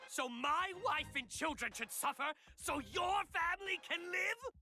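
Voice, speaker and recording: A woman's voice: very high-pitched, lively intonation, measured pace, pushed and bright, faint music behind, full character voice. A woman playing a bitter, self-deprecating, desperate knight in a video game, sardonic and intense. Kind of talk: dramatic video game voice acting.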